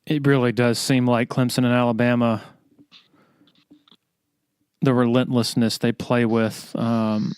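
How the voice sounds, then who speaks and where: Smooth-voiced male podcast host. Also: mid-range voice, level tone, unhurried, long pauses, a little mellow, dry recording, clean studio sound. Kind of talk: casual sports interview.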